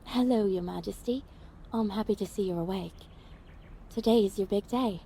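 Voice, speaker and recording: A woman's voice: medium pitch, moderate pace, lightly acted. A woman playing a cheerful, dutiful royal maid in a fantasy audio roleplay, deferential and helpful. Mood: cheerful, attentive. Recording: faint background noise.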